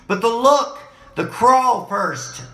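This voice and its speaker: A middle-aged man who is hoarse and losing his voice, rambling excitedly from topic to topic in a home video, talking into a desk mic on a boom arm in his living room. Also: takes it slowly. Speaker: vlogger. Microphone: desk mic on a boom arm, webcam framing. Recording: roomy home echo.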